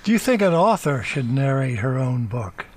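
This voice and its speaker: Husky male radio co-host. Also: mid-range voice, big pitch swings, moderate pace. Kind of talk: asking interview questions.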